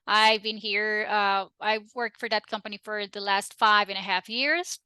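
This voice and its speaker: A woman from Rica, a Spanish speaker, chatting as a guest in an interview with lively restarts and laughter. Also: mid-range voice, moderate pace, clean tone, dry recording. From Costa Rica. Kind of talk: casual interview chat.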